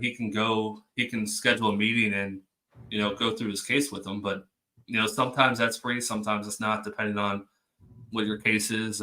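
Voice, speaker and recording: Guy hosting a stream, chill and chatty, clear bright voice. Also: lowish voice, low-quality recording. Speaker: stream host. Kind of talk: casual chat.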